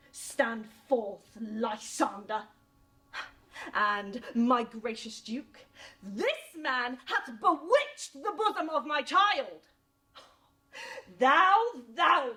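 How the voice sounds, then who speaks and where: A woman's voice: high voice, sharp tone, heavily acted. A woman performing a Shakespearean monologue as a vexed father accusing and demanding obedience in declamatory style. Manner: declamatory, accusing, drawn-out delivery.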